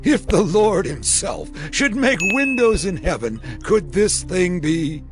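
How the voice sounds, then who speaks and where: A man's voice: sits high, big pitch swings, slow, over music, exaggerated voice. A man playing a scornful, doubting royal captain in a dramatized audio Bible.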